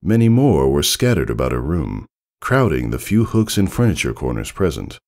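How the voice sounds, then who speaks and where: A man reading a story aloud as narrator, in an expressive, measured and dramatic storytelling manner. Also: very deep voice.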